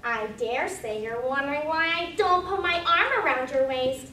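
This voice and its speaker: A woman playing an affectionate, moralizing old Duchess in readers theater, with a drawn-out, singsong delivery. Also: high voice, animated, pushed and bright. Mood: gushing. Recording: echoey room, distant mic, noisy.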